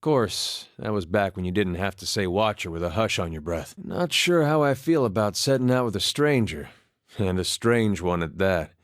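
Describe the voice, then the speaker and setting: A man's voice: lowish voice, animated, normal speed, no echo, natural voice. A man acting a video game role, an easygoing, wry farmer and war veteran with a folksy, laconic way of talking. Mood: wry, resigned. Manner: folksy, laconic, dry-humored drawl with dropped words. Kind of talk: video game character dialogue, conversational storytelling.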